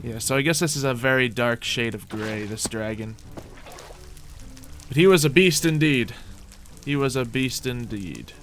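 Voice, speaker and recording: A man's voice: mid-range voice, a little slow, a bit bright, soft background music. A man commentating on his gameplay in character, theatrical and mock-boastful, muttering to himself and repeating his phrases. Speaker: gaming video host.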